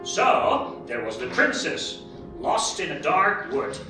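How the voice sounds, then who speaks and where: Slow, measured woman narrator, very echoey. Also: mid-range voice, big pitch swings. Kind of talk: scripted storytelling, readers theatre. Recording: very echoey hall, distant mic, music behind.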